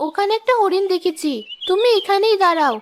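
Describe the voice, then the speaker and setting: A woman's voice: very high-pitched, a little fast, slight background noise. A woman voicing a proud, impulsive young princess in a cartoon story, with a haughty, boastful manner. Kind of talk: Bengali cartoon story dialogue.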